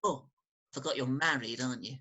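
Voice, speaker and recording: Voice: mid-range voice, a little fast, bright, forceful tone, slightly rough, dull audio. An actor playing a breezy, scheming upper-class British youth, wheedling and cocky in a stage farce. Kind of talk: theatrical staged reading of Victorian farce. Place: home, recorded remotely.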